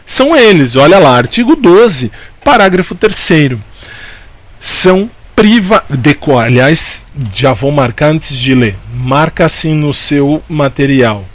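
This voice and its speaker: A man's voice: a little high, animated, unhurried, no echo. Brazilian man teaching, close dry sound. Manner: didactic, repeats key points, asks and answers own questions. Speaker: law teacher. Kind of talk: informal exam-prep lecture.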